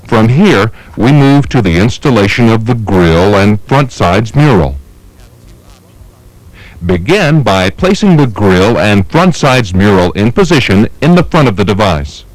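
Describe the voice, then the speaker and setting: A man reading scripted instructional narration for a training film in a measured, methodical manner. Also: on the low side, big pitch swings, no room sound, low-quality recording. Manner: measured, methodical, step-by-step delivery.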